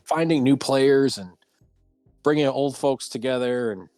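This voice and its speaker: A man's voice: medium pitch, normal speed, darkish tone, no echo, faint music behind. Male talk show host, close dry sound. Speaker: host of a community round table show. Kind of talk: casual hosting and chat on a livestreamed talk show.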